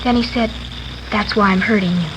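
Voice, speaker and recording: An actress playing a guarded, earnest high school girl, speaking hesitantly in a 1950s radio drama. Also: fairly even intonation, a little fast, close to an everyday voice. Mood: uneasy, confiding. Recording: noisy old radio recording.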